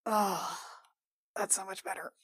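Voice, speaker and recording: A woman's voice: mid-range voice, level tone, a little slow, fairly bright tone, husky, dry recording. A woman playing a tabletop roleplaying game, chatting informally and thinking aloud as she goes. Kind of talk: casual chat during a roleplaying game.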